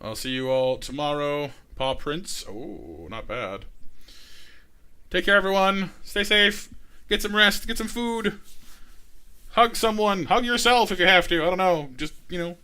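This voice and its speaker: A man's voice: high-pitched, expressive, unhurried, pushed and bright, clear, resonant tone. A man live-streaming a game, chatting playfully with his chat, his voice getting scratchy late in the session. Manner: playful, chatty, sings silly bits. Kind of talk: casual gaming stream chat.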